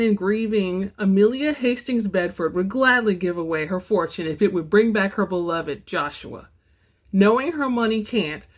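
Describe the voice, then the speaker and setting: A woman hosting a dramatic book reading, moving between informal, expressive chat and narration. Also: medium pitch. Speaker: host and reader of book samples.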